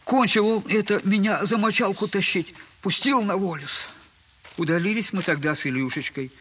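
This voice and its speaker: A man's voice: sits high, normal speed, a bit bright, husky. An actor in an audio drama playing a humiliated, buffoonish middle-aged poor father who talks in a servile, wordy, self-mocking way. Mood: agitated, wounded. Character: humiliated, buffoonish impoverished father, bitter and tender. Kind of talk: Russian literary audio drama acting.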